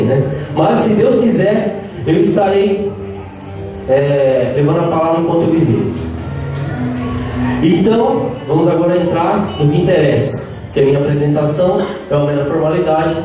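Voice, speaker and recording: A Brazilian lay preacher in his thirties delivers a lively sermon, joking with the crowd and calling out for their response. His voice sits high and comes from a distance. A big echo rings through the hall, with music playing behind him. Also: moderate pace. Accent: Brazilian Portuguese. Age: 30s. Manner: lively, playful, calls out to the crowd. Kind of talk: live charismatic sermon. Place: large echoey prayer-group hall, live event.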